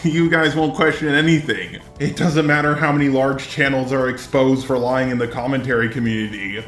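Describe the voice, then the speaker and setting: A man's voice: medium pitch, steady tone, moderate pace, a bit bright, faint music behind. Blunt ranting man in a roomy space. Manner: blunt, profane, indignant. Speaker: commentary YouTuber, host. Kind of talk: opinionated drama commentary, ranting.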